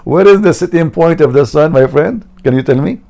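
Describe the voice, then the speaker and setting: A man, hosting a live call-in debate in broken but forceful English, often clearing his throat. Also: fairly high pitch, a little fast. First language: Arabic. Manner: argumentative, heavy accented English with grammar slips. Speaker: host debating a caller.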